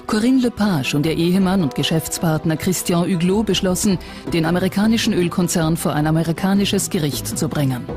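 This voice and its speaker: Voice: medium pitch, a little fast, darkish tone. A documentary narrator reading a scripted text in a factual documentary style. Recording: music bed under narration. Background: background music.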